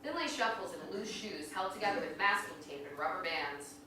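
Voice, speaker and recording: A woman narrates stage directions from a script at a live play reading. Her bright, crisp-edged voice comes from some distance in a very echoey room, with faint noise. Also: lowish voice, lively intonation, brisk.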